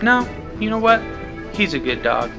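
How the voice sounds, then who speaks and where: Man putting on a high-pitched, flirty tipsy woman. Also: big pitch swings, normal speed, music in the background. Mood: tipsy, insistent. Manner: teasing, flirtatious. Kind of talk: dramatic story reading.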